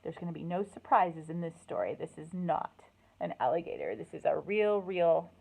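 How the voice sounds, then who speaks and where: A woman hosting a children's storytime, reading aloud and chatting in an animated, encouraging way with simple words for young listeners. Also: on the low side, moderate pace, creaky, poor audio. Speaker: children's librarian and storytime host.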